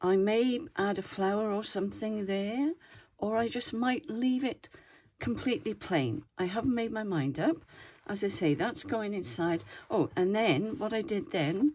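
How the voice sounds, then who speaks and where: A woman's voice: mid-range voice, measured pace, a little mellow. An older woman with a slightly wheezy voice, chatting casually as she shows her craft project at home. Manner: chatty, meandering, self-correcting. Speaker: craft video host. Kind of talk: casual show-and-tell chat.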